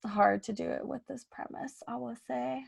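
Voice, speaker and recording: A woman chatting casually as a podcast organizer, thinking out loud in an informal, tentative way. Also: a little high, steady tone, moderate pace, creaky, husky. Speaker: podcast host and improv organizer. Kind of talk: casual planning chat.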